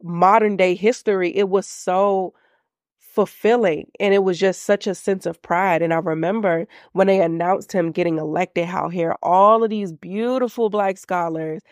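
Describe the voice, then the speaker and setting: A woman's voice: lowish voice, steady tone, normal speed, a little mellow, dry recording, studio quality. Congested, nasal woman podcast host. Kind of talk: faith-based business podcast talk.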